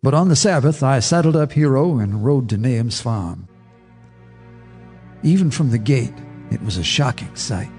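An actor narrating a radio horror drama as a haunted neighbor, in a grave, measured storytelling manner. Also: male voice, mid-range voice, mellow, close to an everyday voice. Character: haunted male neighbor telling a horror tale.

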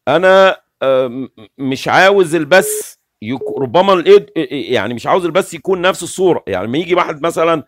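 Egyptian guy in his 40s talking fast on his stream, kinda high voice, waves his hands around, super dry close sound. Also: no echo. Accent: Egyptian Arabic. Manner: animated, gestures constantly, explains insistently. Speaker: livestream host. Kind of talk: casual livestream talk. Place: small room with curtains, indoors.

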